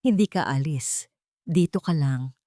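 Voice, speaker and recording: A woman playing a resolute, protective male corporate heir in an audio drama, speaking in a calm, firm, weighty way. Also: low voice, a little slow, dry recording, clean studio sound. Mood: defiant, forceful.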